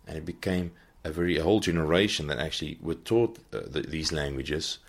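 A man with a very low voice hosts a Bible teaching podcast. He talks off the cuff in an earnest way, often pausing to find the next word. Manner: earnest, searching for words as he goes. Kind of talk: unscripted teaching talk.

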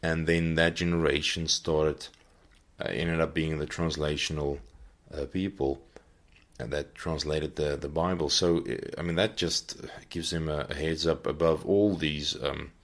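A man hosting a podcast, giving an unscripted Bible teaching talk and often pausing to search for his words. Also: a bass voice, fairly even intonation. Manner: earnest, searching for words as he goes.

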